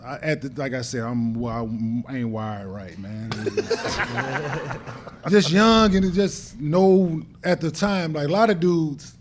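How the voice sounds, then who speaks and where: A man's voice: on the high side, animated, slow pace, background noise. Man who stutters, talking bluntly. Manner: stutters, restarts words; blunt, slangy, laughs through stories. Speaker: guest. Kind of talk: casual chat. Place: podcast studio.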